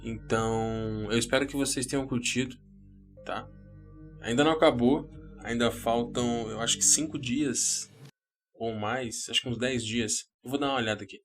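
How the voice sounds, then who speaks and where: A man's voice: mid-range voice, steady tone, normal speed, soft background music. A man with a Brazilian accent, speaking as the narrator and host of a horror story podcast.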